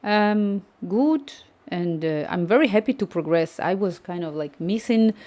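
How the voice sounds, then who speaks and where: Softly mellow woman coding live. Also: medium pitch, lively intonation, a little slow. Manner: thinking aloud, reading chat, many restarts. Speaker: coding streamer. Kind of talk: live-stream coding chat.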